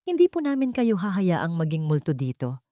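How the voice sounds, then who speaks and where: A woman voicing brave, caring small children in an audiobook drama, earnest and hushed. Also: lowish voice, wildly swooping pitch, moderate pace, dry recording, dull audio.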